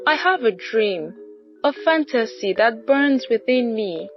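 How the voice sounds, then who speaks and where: A woman's voice: on the high side, expressive, takes it slowly, dull audio, soft background music. A woman voicing a dreamy, headstrong young princess in a folktale, earnest and wistful. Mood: longing.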